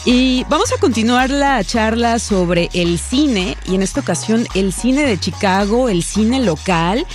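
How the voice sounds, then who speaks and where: A woman hosting a radio talk show, chatting warmly with guests and mixing Spanish with English. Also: fairly high pitch, lively intonation, unhurried, hardly any pauses, soft background music. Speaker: radio host.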